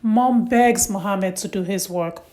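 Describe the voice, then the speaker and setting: A woman hosting and narrating a read-aloud story for young children in simple, deliberate phrasing. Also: mid-range voice, warm tone.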